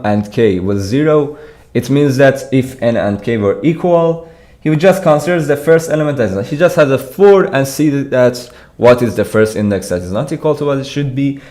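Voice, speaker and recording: A young man from Iran talks through programming solutions on a live stream at a desk microphone. His voice sits at an ordinary middle pitch, and his delivery is loose and hesitant, full of restarts and little laughs as he answers chat. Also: darkish tone. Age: young adult. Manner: casual, hesitant, restarts sentences, laughs while reading chat.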